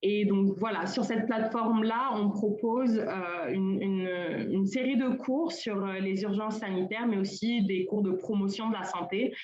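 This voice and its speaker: A young woman from Senegal speaks at a middle pitch in an informal panel talk, pausing often with fillers and restarting her phrases. Her voice comes over a video call that sounds roomy and dull. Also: steady tone, crowd noise. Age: young adult. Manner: hesitant, with many fillers and restarts. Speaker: colloquium panelist.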